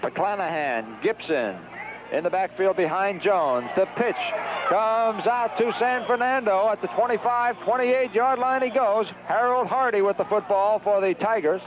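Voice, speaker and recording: High-pitched American man calling football on radio. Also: unhurried. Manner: animated, urgent calls in short clipped phrases. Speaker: play-by-play sports commentator. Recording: dull, noisy 1970s radio broadcast recording.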